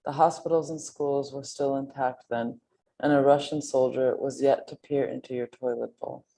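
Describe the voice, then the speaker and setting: A woman's voice: extremely low pitch, fairly even intonation, normal speed, vocal fry, dark tone, poor audio. A woman reading translated poetry aloud at a literary reading.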